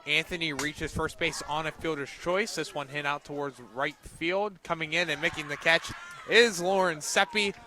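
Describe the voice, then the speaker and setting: A man doing live radio play-by-play of a softball game in short, present-tense calls that lift on the plays. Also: on the high side, steady tone, moderate pace.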